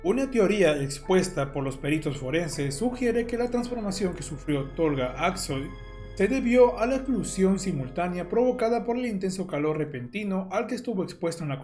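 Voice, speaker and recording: A man's voice: sits high, dark tone. A man reading scripted, documentary-style horror narration as the narrator. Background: music.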